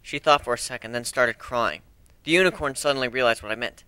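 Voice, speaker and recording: A man's voice: medium pitch, a little fast, bright, forceful tone, smooth, dry recording. A man giving an amateur dramatic reading of a fan fiction story in a theatrical manner. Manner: theatrical, acts out dialogue.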